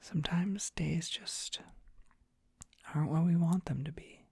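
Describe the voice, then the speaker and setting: Casting: shy, tender young dragon boy for ASMR comfort roleplay, played by a performer; mellow, hushed, whispery voice, close and dry on the mic, hesitant and soothing. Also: mid-range voice, steady tone, unhurried, dry recording, exaggerated voice. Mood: tender concern. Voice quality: whispery, breathy, soft.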